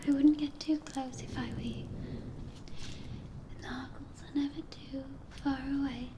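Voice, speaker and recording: A woman playing a dreamy, whimsical young witch schoolgirl in soft, half-whispered, serene ASMR roleplay. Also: high-pitched, steady tone, unhurried. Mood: gently cautioning. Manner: serene, drifting, broken phrases. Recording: distant, noisy ambience.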